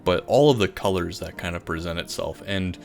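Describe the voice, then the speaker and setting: A man's voice: sits low, a little fast, creaky. A man doing casual, enthusiastic gaming commentary in an informal, rambling way. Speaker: gaming video host. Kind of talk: casual Minecraft time-lapse commentary. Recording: voice over background music. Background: music.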